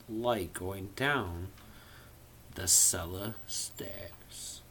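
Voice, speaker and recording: A man's voice: medium pitch, fairly bright tone. A man casually reading a novel aloud, theatrical and playful, dropping in irreverent asides of his own. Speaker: amateur reader of a novel.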